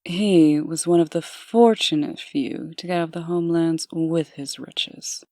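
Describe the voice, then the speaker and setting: A businesslike comic-dub official acted by a woman, proper and composed. Her voice sits very low for a woman and carries polite authority. The sound is close and dry. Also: unhurried, mellow, no echo. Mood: matter-of-fact.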